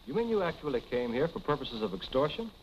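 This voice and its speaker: An actor playing a concerned, proper gentleman friend, formal and earnest, in a 1940s film. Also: on the high side, sing-song, fast talker, lo-fi sound. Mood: probing. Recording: noisy vintage film soundtrack.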